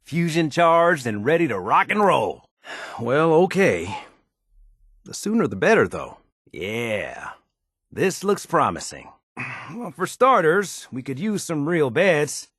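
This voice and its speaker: A man's voice: a little high, big pitch swings, takes it slowly, a bit bright, husky, dry recording, studio quality, heavily acted. A man playing a down-to-earth, folksy and modest handyman in a wasteland video game, with easygoing, colloquial phrasing. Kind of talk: video game character dialogue.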